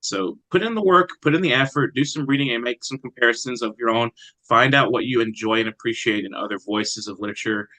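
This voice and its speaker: A man speaking as an interviewed poet, in a reflective, halting conversational way. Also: mid-range voice, bright, forceful tone, no echo, low-quality recording. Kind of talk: conversational interview with brief poem reading.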